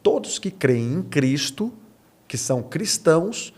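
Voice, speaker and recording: A man's voice: fairly high pitch, big pitch swings, slow pace. A man with a Brazilian accent, a podcast guest explaining conversationally in an assured, emphatic way. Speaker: podcast guest, men's movement member.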